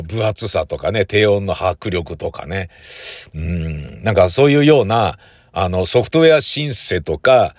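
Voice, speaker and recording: A man's voice: lowish voice, no echo, studio quality. A middle-aged man rambling casually and colloquially on his own as a host, leaning emphatically on key words. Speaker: audio enthusiast host. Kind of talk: casual solo chat.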